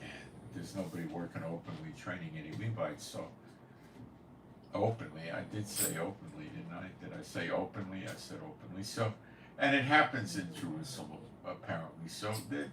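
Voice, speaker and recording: Deep-voiced blunt man in an echoey room. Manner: blunt, opinionated, scoffing. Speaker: host of a prophecy commentary channel. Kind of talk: casual rambling monologue. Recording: echoey room, far from the mic, faint noise.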